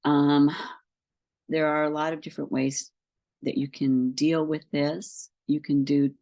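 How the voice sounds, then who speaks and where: A woman coach with a head cold, sniffling a little, calmly explaining breathing and tracking exercises step by step. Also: low voice, unhurried. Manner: calm, patient, explains step by step with frequent ums. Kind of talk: instructional talk to camera.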